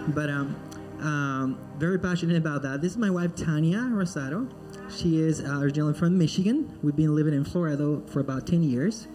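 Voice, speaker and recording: A man's voice: fairly high pitch, fairly quick, over music, rough recording. A man from Peru, a missionary speaking in church in a friendly way and leading prayer. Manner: friendly and joking, then earnest in prayer. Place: church sanctuary.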